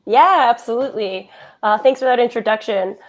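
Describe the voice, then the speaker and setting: An American woman in her thirties speaks as an expert guest on a video call. Her voice sits a little high, and she answers in an informal, animated way, as in a relaxed interview. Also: moderate pace. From Wisconsin, United States. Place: indoor room at home, video call.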